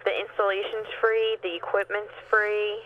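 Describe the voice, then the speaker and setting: A woman's voice: mid-range voice, level tone, moderate pace, crowd noise. A woman working as a customer service agent, explaining things on a telephone call. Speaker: satellite TV service phone agent. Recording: tinny narrow phone line.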